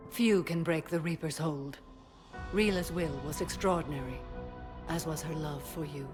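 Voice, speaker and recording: An actress playing a stern, ageless alien warrior-nun and mother in a video game cutscene, speaking in a solemn, measured, formal way. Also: sits low, over music, close to an everyday voice. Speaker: justicar. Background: orchestral score.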